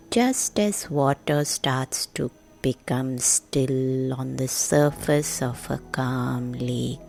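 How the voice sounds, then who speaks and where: A woman narrating a guided sleep meditation in a gentle, hushed, soothing manner with long pauses. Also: extremely low pitch, creaky. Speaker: meditation guide, podcast host.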